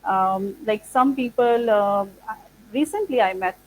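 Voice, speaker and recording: A woman whose first language is Punjabi, from India, answering interview questions as an institute founder. She speaks thoughtfully and fills her pauses with "like" and "uh". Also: medium pitch, a little hiss or hum, rough recording. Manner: thoughtful, many "like" and "uh" fillers. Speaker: founder of an English training institute.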